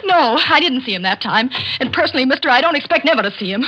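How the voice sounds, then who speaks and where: A woman's voice: high-pitched, expressive, quick pace, breathy edge, exaggerated voice. An actress playing a brassy young woman hitchhiker in a 1940s radio play, talking in slangy, folksy grammar. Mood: alarmed. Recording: lo-fi 1940s radio broadcast with loud hiss.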